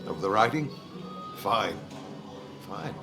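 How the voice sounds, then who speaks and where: An actor playing a measured, faintly ominous small-town pharmacist in a video game, deliberate, formal and cryptic. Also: male voice, lowish voice, big pitch swings, music in the background, heavily acted. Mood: measured, knowing.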